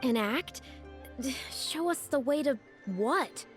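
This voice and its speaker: An outspoken young woman from a video game, earnest and forthright. Her voice sits a little high, and music plays behind her lines. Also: expressive, slow pace. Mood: puzzled, demanding. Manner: candid, forthright. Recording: background music under dialogue.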